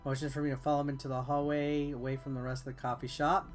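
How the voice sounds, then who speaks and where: A man's voice: medium pitch, flat delivery, fast talker. A man reading visual novel text aloud as a game player, with offhand, wry asides. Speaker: video game reviewer and let's player. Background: game music.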